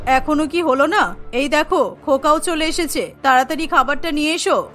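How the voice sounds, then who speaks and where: A woman's voice: high-pitched, moderate pace, a bit bright, faint music behind, voice pushed down. A woman voicing a bossy, coaxing middle-aged mother-in-law in a Bengali cartoon. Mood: impatient. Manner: commanding, coaxing, talks down to family.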